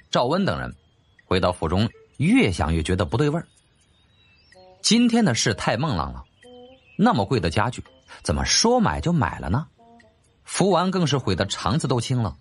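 A man reading a novel as scripted audiobook narration in an animated, dramatic way. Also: mid-range voice, breathy edge.

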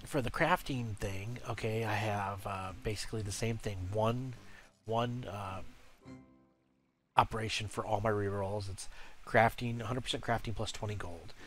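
Brief: low-voiced man, congested from a cold, hesitant tutorial delivery, music underneath. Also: fairly bright tone. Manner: halting, many uhs and restarts. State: stuffy from a cold. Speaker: game guide creator. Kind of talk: casual screen-recorded tutorial.

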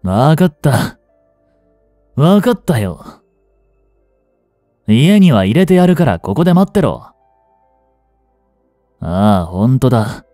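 An actor playing a cocky, rough-tongued young male delinquent in a Japanese audio drama, with brash, slangy tough-guy talk. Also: mid-range voice, big pitch swings, slow pace, long pauses, dark tone, no room sound, studio quality, soft background music. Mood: irritated, gruff. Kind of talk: Japanese audio drama acting, one-sided dialogue to the listener.

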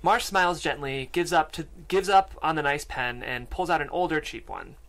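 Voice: mid-range voice, lively intonation, normal speed, a bit bright. A narrator reading screenplay stage directions aloud at a table read. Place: podcast studio.

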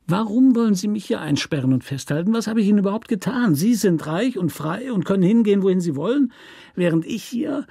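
An actor playing a hard, resentful convict in a radio play, with a nasal, whining, hissing voice that pleads and threatens. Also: male voice, sits high, lively intonation, brisk, creaky, dark tone, slightly rough, dry recording, clean studio sound, voice pushed up, exaggerated voice. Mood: aggrieved. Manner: pleading, aggrieved, snarling threats. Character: hard, lean male convict, resentful, cornered, whining and menacing.

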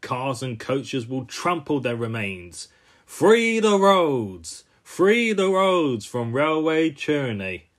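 A man's voice: medium pitch, very animated, slow. A man voicing a cartoon bus, a bitter revolutionary who rants pompously. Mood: fiery defiance. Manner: ranting, pompous. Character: bulky old-fashioned bus, ranting bitter revolutionary.

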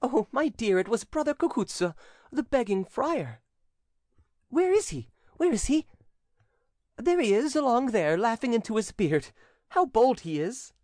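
A storybook narrator voicing an excitable, chattering young girl who gushes and exclaims impulsively. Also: medium pitch, normal speed, dry recording, clean studio sound, voice pushed up, natural voice. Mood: excited, eager.

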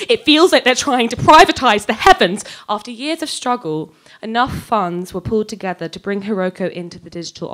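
A young adult woman giving a live, scripted dramatic reading of fiction on stage into a microphone, moving between measured narration and conversational dialogue. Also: mid-range voice. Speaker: reader of a short story. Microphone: handheld-type microphone on a stand, close.

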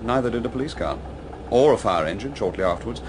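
An actor with a British accent playing a courteous, matter-of-fact army lieutenant in a radio drama. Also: male voice, medium pitch, animated, quick pace, lightly acted. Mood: grave, factual. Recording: noisy outdoor ambience with vehicles.

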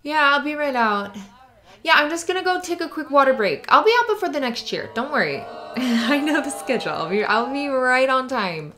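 A woman playing a sweet, flustered young cheerleader in an ASMR roleplay, halting and stammering as she hides that she is upset. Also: high-pitched, very animated, sharp tone, full character voice. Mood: bright, hurried. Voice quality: creaky, often dropping to breathy whispers. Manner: halting, stammering, nervous little laughs. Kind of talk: ASMR roleplay, spoken to the listener.

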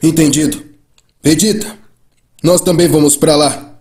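A man with a Brazilian accent playing an earnest, brave martial-arts hero in an anime fan dub, plain and deliberate. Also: fairly high pitch, fairly even intonation, a little mellow, lightly acted. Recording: music behind the voice.

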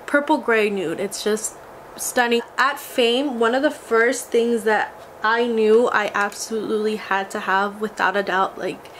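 A young woman in her twenties, a beauty vlogger, chatting casually and gushing over a haul, her voice a little scratchy from a cold. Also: on the high side. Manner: gushing, enthusiastic, exclamatory. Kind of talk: casual makeup haul vlog. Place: small indoor room before a glitter backdrop.

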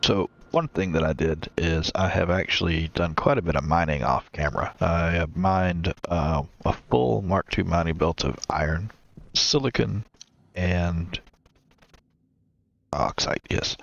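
A congested man with a deep, gravelly voice hosts a gameplay series, walking viewers through his projects in a relaxed way. He pauses often to fill in with uhs. The recording sounds slightly dull and lo-fi. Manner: relaxed, explains as he builds, many uhs. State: stuffed up, congested. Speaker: let's play host. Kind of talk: casual gameplay commentary.

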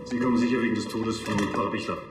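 An actor playing a solemn, courteous older funeral director. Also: male voice, fairly low pitch, fairly even intonation, fast, loud music behind the voice, lightly acted. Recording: echoey room, loud background noise.

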